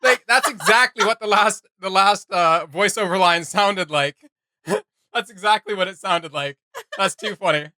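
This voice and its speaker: A man's voice: high-pitched, measured pace, bright, forceful tone, no echo. A man hosting a reaction video, commenting casually in an amused, rambling way.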